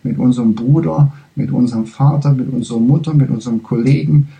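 Very dark-voiced man giving a halting lecture. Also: medium pitch, gravelly, rough recording. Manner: halting, searching for words, many restarts; says his German is not fully fluent. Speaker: spiritual lecturer. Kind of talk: reflective spiritual lecture.

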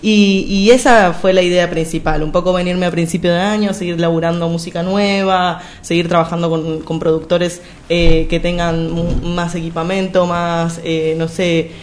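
A woman's voice: lowish voice, level tone, moderate pace, hardly any pauses, a little hiss or hum. A young woman from Argentina with an Argentine accent, a singer chatting as a radio guest and rambling with restarts and fillers. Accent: Argentine Spanish. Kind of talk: casual radio interview.

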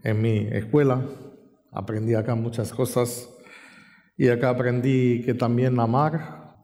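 A man from Romania, Romanian by birth, preaching a sermon in Spanish with an Argentine accent, reflective and pausing with fillers, lifting his voice when he reads scripture. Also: medium pitch, level tone, mellow. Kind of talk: sermon with Bible reading. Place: church hall. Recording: long reverb in a large hall.

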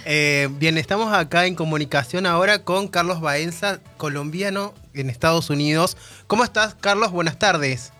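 A man's voice: on the high side, normal speed, pushed and bright, faint music behind. A radio host from Argentina with an Argentine accent, hosting a casual interview and often stumbling over his words. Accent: Argentine Spanish.

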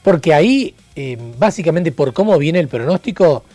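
A man's voice: fairly high pitch, animated, moderate pace, dark tone, no room sound. A man from Argentina with an Argentine accent, hosting a radio show with casual chat and announcements. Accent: Argentine Spanish.

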